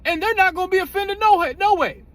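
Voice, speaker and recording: Very high-pitched man, blunt and profane. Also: brisk, fairly bright tone. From United States. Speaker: commentary host. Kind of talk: casual opinionated commentary.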